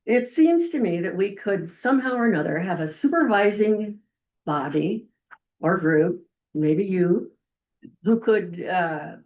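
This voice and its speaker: An older woman from the Midwest with a loud voice, giving a rambling, folksy public comment at a county meeting. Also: on the low side, lively intonation, measured pace. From Eldora, Iowa.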